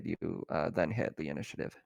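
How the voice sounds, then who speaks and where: A man's voice: sits low, fairly quick, gravelly, no room sound, studio quality. A man acting as game master in a casual tabletop roleplaying session, explaining things informally.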